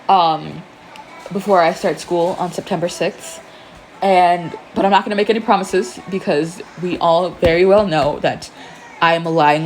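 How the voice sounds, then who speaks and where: A woman's voice: on the low side, slight background noise, poor audio. A young adult woman reading fan fiction as an amateur narrator. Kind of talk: expressive scripted story narration, casual asides.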